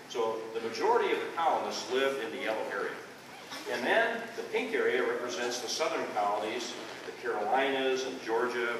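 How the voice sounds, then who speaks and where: A man's voice: mid-range voice, noisy background. An older man with a Midwestern accent giving a folksy classroom history talk, often checking in with "okay?", recorded far off in a school room. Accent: Midwestern American. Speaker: re-enactor and teacher. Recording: echoey room from a distance.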